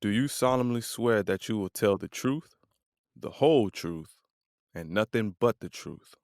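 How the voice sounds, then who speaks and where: A man's voice: fairly low pitch, lively intonation, measured pace, darkish tone, no room sound, clean studio sound. A man playing a solemn court bailiff in a scripted courtroom drama, formal and ceremonial as he administers an oath. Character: solemn male court bailiff swearing in a witness.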